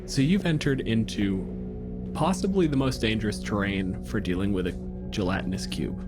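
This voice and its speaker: A man's voice: on the low side, normal speed. A man game master improvising tabletop narration in a casual, sweary way. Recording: music behind the voice. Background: music.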